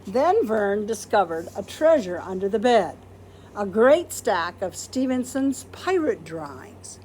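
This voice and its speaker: A woman's voice: mid-range voice, very animated, unhurried, a little mellow, slight background noise, poor audio. A woman reading a children's picture book aloud expressively, acting out quoted words and putting questions to listeners. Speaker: storybook reader.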